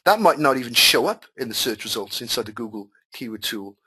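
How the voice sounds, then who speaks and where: A man's voice: medium pitch, expressive, a little fast, sharp tone, husky, rough recording. A man whose first language is Welsh hosts a live webinar. He reads out students' questions and answers them in a chatty, repetitive way, over a computer mic. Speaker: webinar host and marketing coach.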